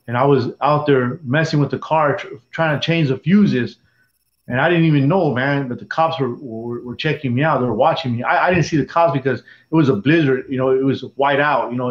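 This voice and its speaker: A man in his sixties recounts his past in an interview, stopping and restarting his sentences as he goes. His voice sits at a middle pitch. The recording is roomy and somewhat dull. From Dallas, Texas. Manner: halting, rambling storytelling with many restarts. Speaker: interviewee, former prison gang member. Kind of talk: personal life story in an interview. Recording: echoey room, dull lo-fi sound.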